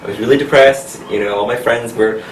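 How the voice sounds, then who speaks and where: A man from the United States in his thirties, a pianist and coach answering interview questions in a friendly, unhurried way with frequent laughs. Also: medium pitch. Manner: friendly, laughs often, speaks slowly and clearly. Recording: echoey room recorded from a distance with noise.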